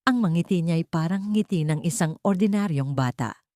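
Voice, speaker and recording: A woman narrates a scripted horror story in the first person. Her voice sits low and mellow, recorded very dry and close. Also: lively intonation, moderate pace, dry recording.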